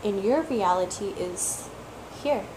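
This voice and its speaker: A woman for whom English is a third language, talking slowly and reflectively as a tarot reader, repeating words for emphasis and sometimes searching for them. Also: medium pitch, expressive, background noise. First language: not English; English is her third language. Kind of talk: slow reflective monologue.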